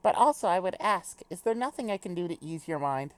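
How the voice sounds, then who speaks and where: A woman's voice: fairly low pitch, lively intonation, fairly quick, lightly acted. A woman voicing a formal, earnest young female mentor with a grandiose, ceremonious delivery for a comic dub. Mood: solemn, earnest.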